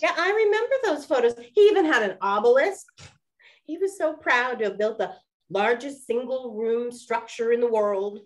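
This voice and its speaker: A woman playing a cheeky young 1920s detective in a period drama, teasing her sister with animated, wisecracking delivery. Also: fairly high pitch, normal speed, natural voice. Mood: earnest, eager. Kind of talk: scripted period radio drama acting.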